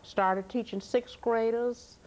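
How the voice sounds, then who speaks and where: A woman from Tennessee, a guest author and teacher recounting her life in a relaxed storytelling way. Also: on the high side, takes it slowly, poor audio. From Tennessee, USA. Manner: storytelling, lively and expressive. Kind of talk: casual interview talk.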